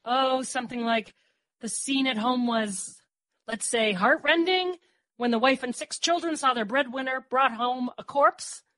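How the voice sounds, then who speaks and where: A woman's voice: high voice, measured pace, fairly bright tone, dry recording, pitched above the performer's own voice, rough recording. A woman voicing a roguish, hard-drinking newspaperman with florid, grandiose, teasing speech for an audiobook. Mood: mock-dramatic. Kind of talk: audiobook character voice.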